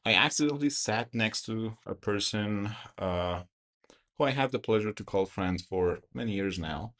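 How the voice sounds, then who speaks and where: Reflective man in his twenties, low voice. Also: moderate pace, a bit bright. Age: 20s. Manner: reflective, storytelling. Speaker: podcast guest. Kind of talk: casual conversation.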